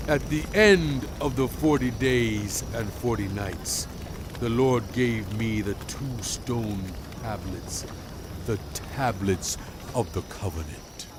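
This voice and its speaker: A stern, grave male prophet rebuking his people in a dramatized scripture audio drama. He speaks very slowly and solemnly, leaning on key words with deliberate oratory. Faint outdoor ambience with insects sits behind the voice. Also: lowish voice, noisy background. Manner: grave, deliberate, emphatic phrasing.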